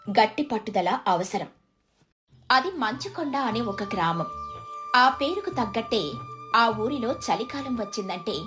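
Female storyteller, scripted folk-tale narration, pitched a bit high, light music bed.

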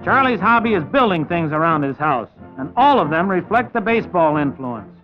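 A man's voice: on the high side, very animated, moderate pace. A man working as a reporter, delivering 1950s sports newsreel narration and commentary. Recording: dull vintage 1950s newsreel sound, music behind.

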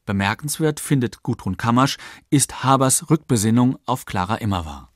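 Guy narrating a radio documentary, factual and measured, mid pitch, very dry studio sound. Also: lively intonation, a bit bright, dry recording.

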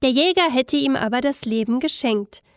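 A woman's voice: sits high, moderate pace, dry recording, studio quality. A woman narrating a scripted fairy tale expressively, with careful storytelling phrasing.

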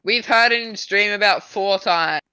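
A man's voice: sits high, normal speed, sharp tone, smooth, no echo, rough recording. A teenage boy chatting on a friend's gaming livestream, giggly and playful, acting mock offended. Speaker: co-host friend on stream. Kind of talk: casual gaming livestream chat.

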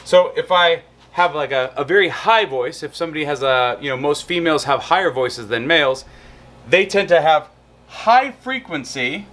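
Male lecturer, 30s, lively pitch, bright tone, teaching outdoors on camera. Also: moderate pace. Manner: animated explaining, demonstrates on guitar and whiteboard. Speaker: psychology lecturer. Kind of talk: recorded lecture. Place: outdoors in a backyard patio and garden.

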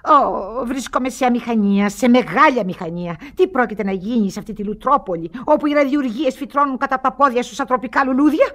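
An actress playing a bossy, fretful wealthy aunt in a radio comedy, with a commanding, fussy manner. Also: female voice, a little high, few pauses, fairly bright tone, natural voice. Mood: exasperated. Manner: commanding, fussy, self-contradicting. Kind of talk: classic radio comedy acting.

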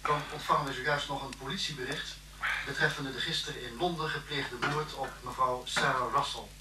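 A man's voice: medium pitch, fairly even intonation, fairly quick, lightly acted. Actor doing formal tv news guy, echoey and far off, lots of noise. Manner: formal, impersonal. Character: formal male television newsreader. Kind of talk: scripted news bulletin in radio drama. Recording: distant echoey TV sound, loud noise.

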